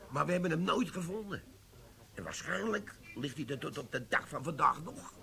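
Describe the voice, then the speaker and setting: An actor playing an older army sergeant who defuses bombs, in a radio play, telling war stories and giving brisk orders. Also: male voice, a little high, animated, gravelly, sharp tone, exaggerated voice. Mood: storytelling. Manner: storytelling, then brisk and commanding. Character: gruff older male army bomb sergeant.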